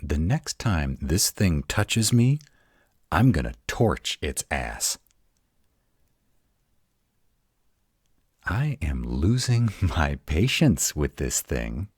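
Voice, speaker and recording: A man playing a grumpy, protective were-dragon in a roleplay audio, gruff and exasperated, rattled but defiant. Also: extremely low pitch, very animated, no echo, clean studio sound, exaggerated voice. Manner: gruff, exasperated, halting one-sided dialogue.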